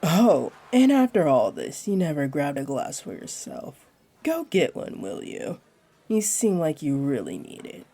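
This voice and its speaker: A woman voicing a flirty, comforting female jaguar beastling in a roleplay audio, with a deep, mellow voice and a teasing, soothing manner. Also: wildly swooping pitch, unhurried, husky, heavily acted. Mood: sympathetic, comforting.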